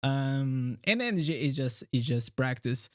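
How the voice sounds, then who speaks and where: A man from Portugal with a Portuguese accent, hosting a casual livestream chat in a halting way, full of fillers and restarts. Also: medium pitch, animated, dry recording, clean studio sound. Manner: halting, many fillers and restarts, laughs often. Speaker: streamer host.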